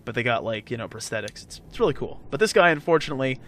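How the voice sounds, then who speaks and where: A man giving casual video game commentary, explaining in a halting, rambling way with sarcastic jokes. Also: mid-range voice, lively intonation, fairly bright tone. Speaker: let's play host and player. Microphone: voice-over commentary recorded over game footage. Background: game music.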